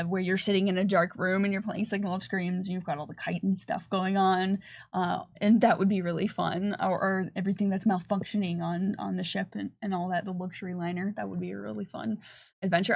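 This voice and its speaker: A woman with a mid-range voice and plenty of vocal fry chats casually as she presents a livestream. She is upbeat and talkative, fielding questions like a game developer. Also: medium pitch.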